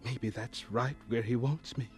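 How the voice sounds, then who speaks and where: An actor playing a gentle, earnest young homesteader with dreams, speaking reflectively in a folksy radio drama manner. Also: male voice, medium pitch, fairly even intonation, unhurried, faint music behind, lightly acted. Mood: wistful, earnest.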